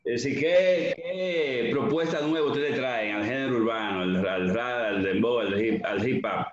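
A man co-hosting an interview over a video call, asking questions with filler pauses. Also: medium pitch. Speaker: co-host. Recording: echoey dull line with crowd.

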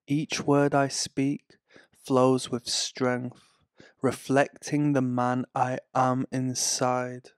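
A man reading guided affirmations in a calm, soothing, scripted way. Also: medium pitch, smooth, no echo, clean studio sound. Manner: slow, calm, soothing delivery. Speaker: affirmation narrator.

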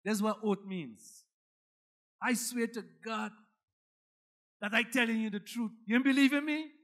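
A man's voice: very high-pitched, fairly even intonation, a little slow, fairly bright tone. A man with a Guyanese accent preaching a sermon as a pastor, emphatic and dramatic, repeating phrases for effect.

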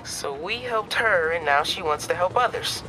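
A man's voice: high voice, expressive, normal speed, low-quality recording. An actor playing an earnest, eager teenage boy talking with his hero friend in a video game. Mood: earnest, uncertain. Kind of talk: video game phone conversation. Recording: prominent music behind.

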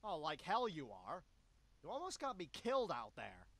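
A man's voice: a little high, wildly swooping pitch, normal speed, fairly bright tone, no echo, full character voice. A man voicing an anxious, exasperated dog sidekick in a cartoon video game, whiny and sarcastic.

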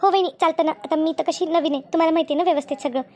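A woman's voice: very high voice, steady tone, very fast, a little mellow. A woman voicing an anxious, deferential young working daughter-in-law in a cartoon family drama, speaking colloquially.

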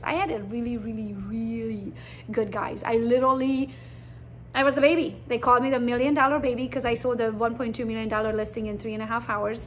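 A woman's voice: a little high, slight background noise. A Romanian-born woman in her forties with a Hungarian accent, chatting bluntly about her realtor career in a recruiting presentation. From Transylvania, Romania. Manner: chatty, blunt, animated storytelling.

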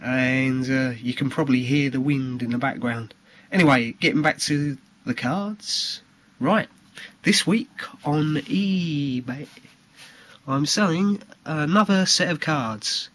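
A man informally presenting collectible cards for sale in a homemade video, speaking haltingly. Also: mid-range voice, fairly bright tone. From United Kingdom. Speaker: trading card seller presenting his video. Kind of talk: informal product showcase.

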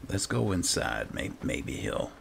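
A man with a deep, gravelly voice giving exasperated, muttering roleplay commentary as he hosts a video game. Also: sing-song, a little slow, noisy background. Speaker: gaming host. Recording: game music behind the voice. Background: video game sound and music.

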